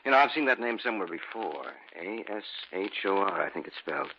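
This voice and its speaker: A man's voice: sits low, animated, brisk. An actor in an old radio drama playing a curious male friend who grows uneasy. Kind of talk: 1940s radio acting. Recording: muffled old radio recording with faint noise.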